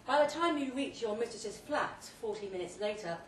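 A woman giving an emphatic, deliberately phrased dramatic reading of a second-person short story at a live event. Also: on the high side, lively intonation. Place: indoor pub event, before an audience. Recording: roomy live pub recording, distant mic, faint noise.

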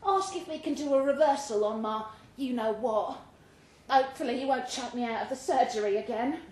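A woman's voice: a little high, a little fast, a little mellow, pitched above the performer's own voice, natural voice. A woman voicing a devoted, hopeful London girlfriend in a live story reading, affectionate and chatty. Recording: roomy live pub recording, faint background noise.

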